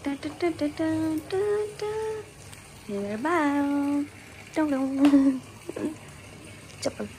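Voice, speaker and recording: High-voiced woman vlogging on a phone. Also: lively intonation, drawn-out words, long pauses, background noise. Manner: chatty, playful, exclaims over things. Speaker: travel vlogger. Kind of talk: casual travel vlog chat. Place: hotel room, then outdoor market. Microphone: phone camera at arm's length.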